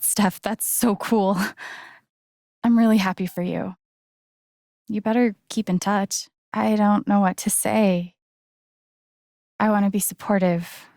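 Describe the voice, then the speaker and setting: A woman playing a sincere, gently wry young game heroine, with an American accent and an understated, conversational delivery. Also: medium pitch, no room sound, clean studio sound, natural voice. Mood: warm, teasing. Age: young adult. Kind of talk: naturalistic video game voice acting.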